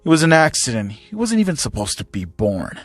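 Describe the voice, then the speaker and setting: A man voicing a cruel, sneering villainous father who speaks in a deliberate, mocking way. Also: mid-range voice, big pitch swings, no echo. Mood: cruel contempt.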